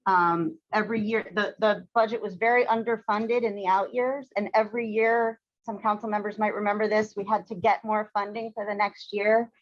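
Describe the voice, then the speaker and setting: A woman's voice: mid-range voice, fairly even intonation, normal speed, few pauses, dry recording, muffled recording. A woman city transportation official testifying at a council hearing, upbeat, with many ums.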